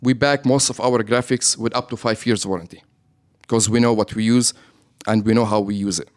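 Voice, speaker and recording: This is a man with a Lebanese accent and a fairly low voice. He is a business owner answering questions in a radio interview. He keeps checking in with his listeners by adding "right?" to his points. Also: fairly even intonation, fairly quick. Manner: explains with frequent "right?" tags.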